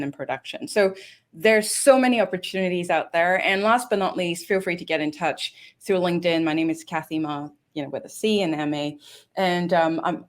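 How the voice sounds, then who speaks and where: A woman with a Hong Kong English accent and a lowish voice speaks in a thoughtful, earnest way. She sometimes restarts her sentences as she answers interview questions. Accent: Hong Kong English with London influence. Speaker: guest, industry director.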